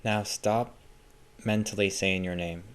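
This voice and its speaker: A man leading a guided meditation as a scripted instruction, speaking in a calm, measured and gently instructive way. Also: lowish voice, smooth.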